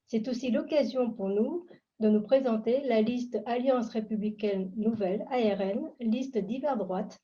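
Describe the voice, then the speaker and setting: A woman giving a measured, formal prepared introduction as moderator on a video call. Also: on the high side, dark tone. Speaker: candidate and event moderator. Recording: muffled lo-fi video call audio.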